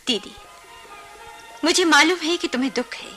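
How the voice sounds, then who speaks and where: An actress playing a young bride, an outspoken and pleading younger sister, in a Hindi radio play. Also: female voice, high-pitched, normal speed, bright, forceful tone, faint music behind.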